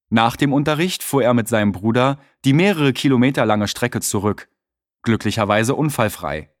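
A man reading short news texts and hosting a scripted radio call-in in a measured, clear way. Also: mid-range voice, no echo, studio quality. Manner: measured, clear read-aloud delivery. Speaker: reader of exam listening texts.

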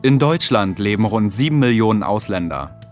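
A man reading short news texts and hosting a scripted radio call-in in a measured, clear way. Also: on the low side, lively intonation, loud music behind the voice. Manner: measured, clear read-aloud delivery. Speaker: reader of exam listening texts.

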